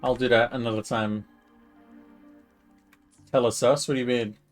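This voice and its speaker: A man casually commenting on a live game stream, rambling as he thinks out loud. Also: mid-range voice, flat delivery, moderate pace, soft background music.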